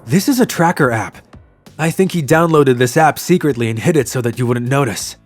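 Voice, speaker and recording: A man's voice: medium pitch, brisk, natural voice. An actor playing an earnest young office worker, narrating his own love story in a manga drama, with music behind. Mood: concerned, explaining.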